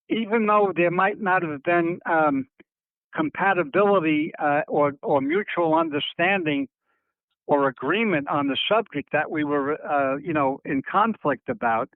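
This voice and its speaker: An older man speaking reflectively in a phone interview, halting and circling back as he searches for words, heard over a narrowband telephone line. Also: sits high, no echo. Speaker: interviewee recalling family history. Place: on a telephone call. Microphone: telephone handset.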